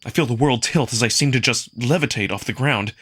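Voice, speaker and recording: A man performing dramatic first-person story narration with expressive, acted-out delivery. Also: medium pitch, brisk, slightly rough. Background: music.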